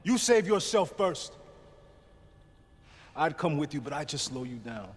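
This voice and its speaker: An actor playing a wounded middle-aged male police lieutenant, gruff, weary and commanding. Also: on the high side, very animated, measured pace. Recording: reverberant game audio with faint noise.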